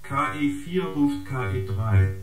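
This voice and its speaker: An actor playing a smug surveillance agent in a radio drama, reporting a dossier with precise, formal, sardonic delivery. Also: male voice, medium pitch, takes it slowly, close to an everyday voice. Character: smug, gossiping surveillance agent or unit, dryly needling. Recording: echoing space, loud guitar music.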